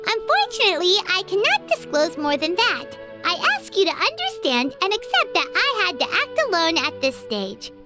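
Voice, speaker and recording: Give the game a tiny, inquisitive fairy sidekick. The voice is squeaky and childlike, extremely high, and leaps around in exaggerated swoops. Music plays clearly underneath. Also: female voice, huge pitch swings, moderate pace, few pauses, full character voice. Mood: solemn reading. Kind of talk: video game voice acting.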